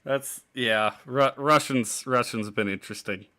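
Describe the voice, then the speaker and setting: A man chatting casually on a podcast with a bright, forward tone. He keeps a laid-back, easygoing delivery, laughing often and trailing off into restarts. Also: medium pitch, moderate pace. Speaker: podcast co-host. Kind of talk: casual chat.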